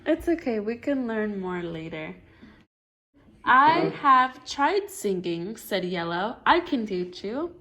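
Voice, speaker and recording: A woman's voice: mid-range voice, big pitch swings, takes it slowly, fairly bright tone. A woman reading a picture book aloud to a child, encouraging and often echoing answers back as questions. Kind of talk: dialogic storybook reading with questions.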